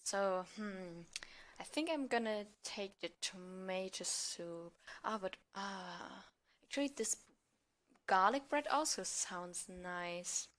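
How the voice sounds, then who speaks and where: Woman improvising, mid-pitched voice. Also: slow, fairly bright tone. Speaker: podcast guest, amateur theatre performer. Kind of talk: improvised role-play and mock product pitching.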